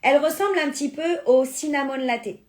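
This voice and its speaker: A woman's voice: high-pitched, a little fast, background noise. A woman whose first language is Spanish, chatting casually on a live stream as she sells beauty products and answers viewers' comments. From born in France, Spanish family.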